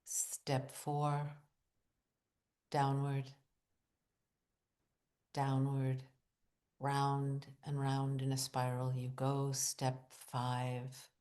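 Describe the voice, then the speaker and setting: A woman with a low voice leads a guided meditation at a very slow pace. She sets down short, deliberate phrases and leaves long pauses between them. Her delivery is hushed and soothing, with an incantatory repetition. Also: completely flat delivery. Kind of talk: guided visualization, meditative narration.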